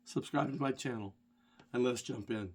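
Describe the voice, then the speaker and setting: A man's voice: mid-range voice, normal speed, heavy background noise. A middle-aged man with a stuffy head cold, a rideshare driver telling a rambling, self-deprecating story to his channel. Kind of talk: casual storytelling vlog.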